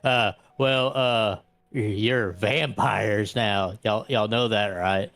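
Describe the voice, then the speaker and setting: A man acts out a middle-aged vampire preacher in a tabletop roleplay game. He is halting and folksy, stammers often and rambles nervously around his point. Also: on the low side, steady tone, creaky, a bit bright, dry recording, faint music behind, pitched below the performer's own voice, exaggerated voice. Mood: nervous, rambling.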